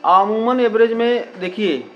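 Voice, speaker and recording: High-voiced man explaining. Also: a little slow, highs cut off. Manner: repetitive, peppers Hindi with English words and filler phrases. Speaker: community radio station representative being interviewed. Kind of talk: explanatory interview answers.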